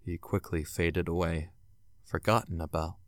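A man's voice: low-pitched, fairly even intonation, normal speed, no room sound, studio quality. A man narrating a scripted audio drama in a dramatic, theatrical storytelling manner. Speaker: narrator and announcer. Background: music.

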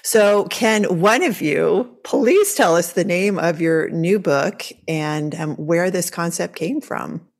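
A woman hosting a podcast interview, enthusiastic and quick to gush over her guests. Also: lowish voice. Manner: enthusiastic, gushing. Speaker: podcast host.

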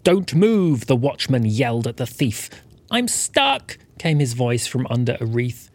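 Male storyteller-host, scripted delivery, medium pitch with wide swings, husky tone. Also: very animated, a little slow, a little mellow. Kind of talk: scripted narration.

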